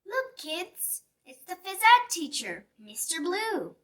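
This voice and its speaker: A woman's voice: very high voice, very animated, slow pace, voice pushed up, heavily acted. A woman voicing a cheerful, scatterbrained female kindergarten teacher from a children's storybook, eagerly addressing small children. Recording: distant mic with music behind.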